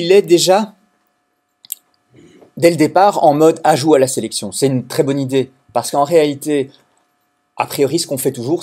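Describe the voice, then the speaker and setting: A man from Belgium teaching a software class to students, explaining step by step with frequent restarts and hesitations. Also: a little high. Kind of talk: recorded classroom lecture.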